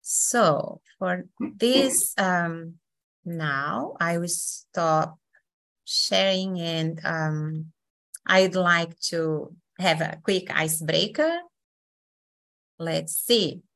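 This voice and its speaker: Woman, Brazilian accent, session facilitator, slow pace, fairly low pitch, friendly, unhurried. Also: fairly bright tone. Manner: friendly, unhurried, many fillers. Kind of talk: hosting an online session.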